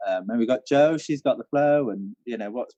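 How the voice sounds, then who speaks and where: A man's voice: mid-range voice, brisk, dark tone, dry recording. A man hosting a casual live quiz from home, chatty and repeating questions for listeners, on a dull livestream recording. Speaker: quiz host, theatre venue manager.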